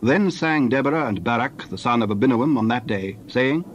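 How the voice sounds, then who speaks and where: A man's voice: medium pitch, lively intonation, normal speed, highs cut off, a little hiss or hum. A man narrating scripture as a scripted dramatized reading.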